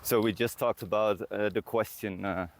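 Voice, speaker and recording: A man's voice: on the low side, fairly even intonation, normal speed. A man hosting a casual interview while walking outdoors, putting his questions in a searching, conversational way. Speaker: podcast host interviewing. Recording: outdoor walk with clear background noise.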